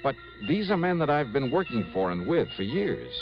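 An actor playing a wry, harried American insurance investigator with a colloquial, dramatic delivery in a 1960s radio detective drama. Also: male voice, mid-range voice, big pitch swings, normal speed. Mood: confiding, wry. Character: brisk, harried, loyal male insurance investigator. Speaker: lead and narrator. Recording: muffled old radio, music underneath.

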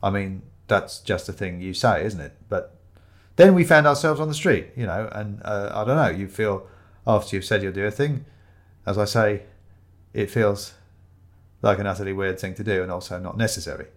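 A man plays an awkward sidekick in a storybook mystery. He is low-voiced and fussy, a reluctant companion who is easily exasperated. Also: moderate pace, lightly acted. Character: awkward, exasperated male sidekick of an amateur detective.

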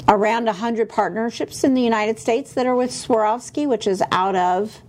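A woman on a talk show describes the lockets she sells in a casual, warm way. She is chatty and clearly fond of the pieces. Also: a little high, moderate pace. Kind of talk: casual product chat.

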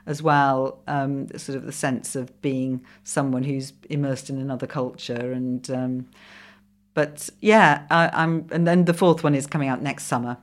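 A middle-aged Welsh woman with a low voice, speaking English as her second language after Welsh. She chats as a podcast guest, pausing often with ums and restarting her sentences. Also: steady tone. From Wales. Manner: hesitant, full of ums and restarts. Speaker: guest, comedy writer and former commissioning editor. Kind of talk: casual podcast conversation.

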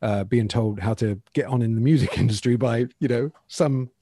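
A man in his forties, a guitarist being interviewed, talking in a relaxed, candid and rambling way. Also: mid-range voice, moderate pace, slightly rough, dry recording, studio quality. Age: 40s. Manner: relaxed, candid, loose and rambling with frequent "you know what I mean". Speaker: guest guitarist. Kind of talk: casual interview chat.

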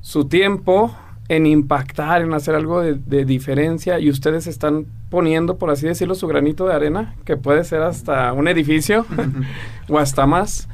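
Man, 20s, motivational, upbeat, slightly high voice. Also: moderate pace, a little mellow. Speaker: guest, personal coach. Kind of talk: casual podcast chat.

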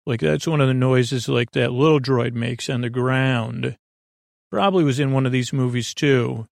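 A man with a gravelly, fry-laden voice at an ordinary male pitch hosts a sleep podcast. He wanders from thought to thought, halting and trailing off before he finishes. The sound is very dry, with no room around the voice. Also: no room sound. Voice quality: gravelly, creaky. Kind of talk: rambling, digressive solo monologue.

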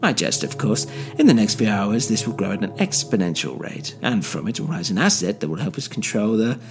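A man voicing a smug middle-aged male villain who talks in a mocking, gloating, drawn-out way. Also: lowish voice, very animated, over music.

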